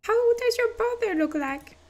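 A woman with a French accent voicing a chatty bearded male store clerk in a game with a high, squeaky put-on voice. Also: lively intonation, unhurried, a little mellow, highs cut off. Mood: warning.

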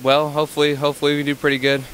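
A teenage boy giving relaxed, hesitant answers in a school gym. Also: a little high, racing, a little hiss or hum, rough recording. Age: teens. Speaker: homecoming nominee.

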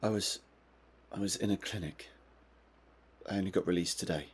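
A man's voice: low-pitched, normal speed, slightly rough. An actor playing a distressed, confused man in his twenties in a video game, agitated and defensive. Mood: subdued, explaining. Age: 20s. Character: distressed, confused young man suspected by police. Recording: noisy with background ambience.

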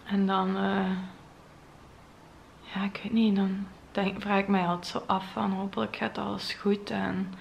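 A young woman with a Flemish accent, talking to camera in a vlog after crying, her voice soft, breathy and trailing into whispers. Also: medium pitch, level tone, measured pace, lo-fi sound, slight background noise. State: tearful, voice heavy from crying. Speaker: vlogger. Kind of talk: emotional vlog monologue to camera. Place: bright modern home interior. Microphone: camera at close range.

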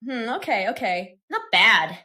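A woman presenting software in a scripted tutorial narration. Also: medium pitch, very animated, a little slow, over loud music.

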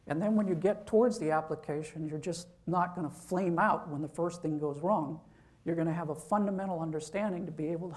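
A man's voice: on the high side, creaky, a little mellow. A man, a professor, giving an informal university lecture, talking conversationally and checking in with "okay?".

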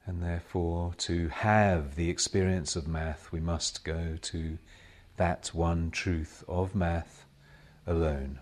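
A man leading a guided meditation as a spiritual teacher, speaking in measured, solemn short phrases with long pauses between them. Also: deep voice, fairly even intonation, a little mellow. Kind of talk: slow guided meditation talk.

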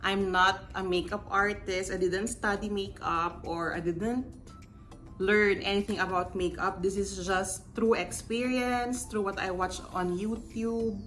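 A woman's voice: mid-range voice, fairly even intonation, a little slow, a bit bright, soft background music. A woman, chatting casually and rambling a little while doing her makeup for a vlog at home. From Philippines. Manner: rambling, friendly, self-correcting asides. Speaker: beauty vlogger. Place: bedroom at home, indoors. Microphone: camera in front at close range.

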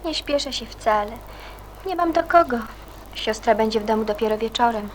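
Actress as high-voiced, earnest young girl. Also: expressive, normal speed, slight background noise, lightly acted. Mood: subdued, caring. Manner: earnest, gentle, eager to help. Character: kind, earnest, helpful girl named Kasia. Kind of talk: Polish radio play acting.

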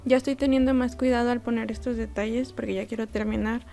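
Young woman artist, relaxed chatty voice-over, fairly high pitch. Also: fast talker, gravelly, soft background music. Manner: relaxed, chatty, self-deprecating. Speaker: artist narrating a painting process. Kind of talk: casual voice-over narration.

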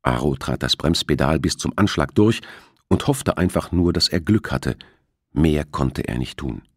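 A man with a husky voice reading a thriller novel as an audiobook narrator. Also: rumbling low, animated, unhurried, dry recording, studio quality.

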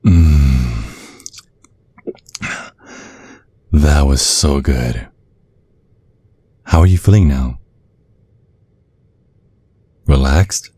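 A man playing a tender, protective vampire boyfriend in an ASMR roleplay, speaking in a soothing, coaxing, affectionate way with a husky voice. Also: very deep voice, extremely slow, pauses often, warm tone, heavily acted. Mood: savoring, attentive. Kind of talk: ASMR roleplay, intimate soft talk.

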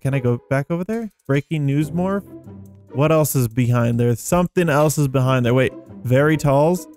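Young male gaming host, higher-pitched, casual and easily impressed, rambling commentary with faint music behind. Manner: easily amazed, rambling, thinking aloud.

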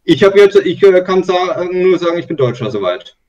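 Man, casual chat, probing questions, filler words, slightly high voice, muffled distant audio. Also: very animated, normal speed, a little mellow. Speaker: discussion participant.

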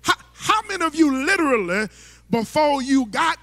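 A man's voice: very high voice, sing-song, moderate pace, gravelly, fairly bright tone. A middle-aged male pastor preaching from a church stage into a handheld mic, with rhythmic sing-song phrasing and stammering restarts. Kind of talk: sermon. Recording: long church hall reverb.

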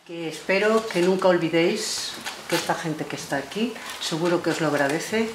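Female teacher, Spanish, low and rapid, emphatic informal speech, noisy room. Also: echoey room. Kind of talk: informal thank-you speech. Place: indoor room with a group.

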